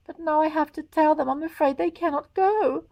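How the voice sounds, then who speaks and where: Woman voicing a high, fretful fox wife. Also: moderate pace, creaky, darkish tone, dry recording. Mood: tearful, dismayed. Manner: exclaiming, dramatic. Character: anxious, excitable fox wife, sweet and fretful.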